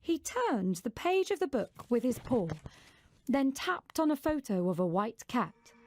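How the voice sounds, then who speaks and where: A woman narrating a scripted children's story in an expressive storyteller's way, acting out the dialogue. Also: high voice, a little slow.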